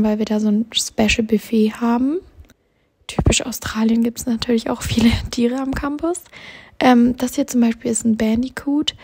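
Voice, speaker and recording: A woman in her twenties from southwestern Germany, narrating a student vlog in a relaxed, chatty voice-over. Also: on the high side, creaky, slightly rough. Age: 20s. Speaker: student ambassador vlogger.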